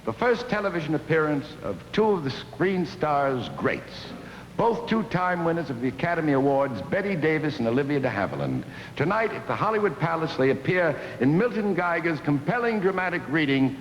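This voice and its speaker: A man's voice: on the high side, big pitch swings, normal speed, slight background noise. A man announcing a 1960s television variety show in a formal, grand, emphatic presentation style. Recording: dull old TV tape, echoey theater.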